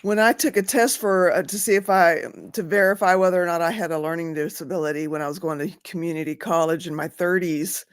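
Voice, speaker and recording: A woman in her fifties in a casual recovery meeting chat, chatty and quick to laugh, asking follow-up questions. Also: on the low side, steady tone, clean tone, no room sound, clean studio sound. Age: 50s.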